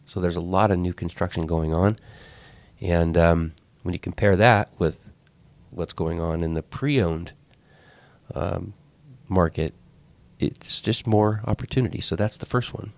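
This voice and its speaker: A man's voice: sits low, measured pace, gravelly, a little mellow. A man hosting a podcast with a head cold and a clogged, congested voice, talking casually and rambling with frequent restarts. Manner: rambling, self-correcting. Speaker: podcast host. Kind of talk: casual talk.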